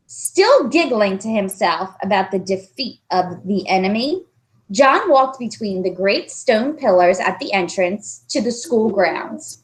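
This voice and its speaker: High, animated woman reading a story. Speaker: storybook reader. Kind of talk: expressive children's read-aloud narration.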